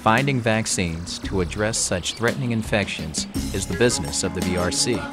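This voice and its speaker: Deep-voiced man narrating a clinic tour. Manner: calm, measured, formal. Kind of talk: scripted documentary narration. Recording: voice-over with loud background music.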